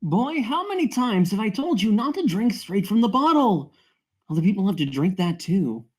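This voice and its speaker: A woman's voice: mid-range voice, big pitch swings, moderate pace, darkish tone, breathy edge. A woman playing a loving but scolding middle-aged mother at a screenplay table read.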